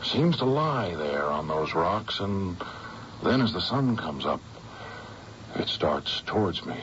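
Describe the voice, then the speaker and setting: An actor playing a haunted, weary man of forty in a radio drama, halting, brooding and dramatic. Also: sits low, very animated, a bit bright, full character voice. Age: 40s. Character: haunted, weary forty-year-old man, convinced he is doomed. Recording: dull, slightly noisy vintage radio recording.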